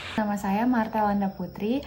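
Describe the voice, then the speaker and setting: A woman's voice: mid-range voice, fairly even intonation, noisy background. A woman pharmacy student from Lampung giving a prepared answer point by point. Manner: measured, listing points.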